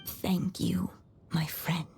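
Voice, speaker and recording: An actress in a video game playing a gentle, fading motherly writer who speaks tenderly and solemnly, like someone telling a storybook. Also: lowish voice, level tone, drawn-out words, gravelly, whispered, full character voice. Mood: tender farewell. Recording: music behind the voice. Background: game music.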